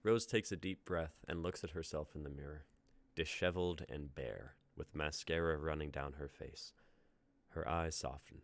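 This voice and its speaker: A man with an extremely deep voice reads stage directions aloud in a plain, measured way. The sound is dry and close, with no room around it. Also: no echo. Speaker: narrator reading stage directions. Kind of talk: reading scripted narration aloud.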